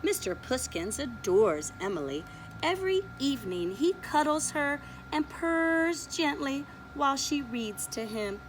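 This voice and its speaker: Slow, expressive woman reading to kids. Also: high-pitched, a little hiss or hum, rough recording. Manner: slow, expressive read-aloud, showing pictures. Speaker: preschool teacher. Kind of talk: reading a picture book aloud to children.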